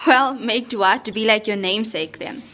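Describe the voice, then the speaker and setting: A woman's voice: a little high, normal speed, pushed and bright. A woman playing a chatty, wry but earnest teenage schoolgirl in an audio drama.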